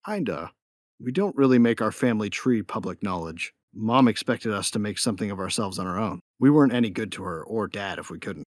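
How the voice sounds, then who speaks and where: An actor playing a wry, guarded young space adventurer, narrating in the first person and speaking dialogue in an audio drama. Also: male voice, on the low side, animated, brisk, no room sound, clean studio sound, natural voice.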